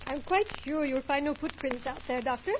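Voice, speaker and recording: A woman's voice: sits high, a little fast, lightly acted. A woman playing a haughty, defiant wife in an old-time radio melodrama. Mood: cool, composed. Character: haughty, defiant young wife accused of murder. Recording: muffled, noisy old radio recording.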